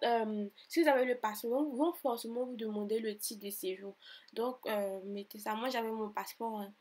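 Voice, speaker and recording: A woman's voice: mid-range voice, darkish tone. A young woman from Côte d'Ivoire with an Ivorian French accent, talking through an online form in a chatty, repetitive voice-over tutorial. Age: 20s. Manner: chatty, repeats herself, grows exasperated and emphatic. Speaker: tutorial vlogger. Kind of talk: screen-recorded voice-over tutorial.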